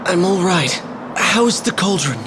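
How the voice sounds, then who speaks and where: Slow-spoken, earnest young prince. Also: male voice, high-pitched, expressive, noisy background. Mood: concerned. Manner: earnest, dramatic. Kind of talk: dramatic fantasy video game acting. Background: music.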